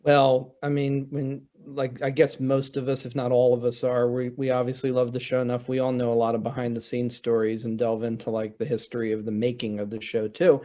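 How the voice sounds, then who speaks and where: A man speaking as a writer on a panel, explaining things conversationally with halting starts and self-interruptions. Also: medium pitch, monotone, mellow. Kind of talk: casual panel discussion.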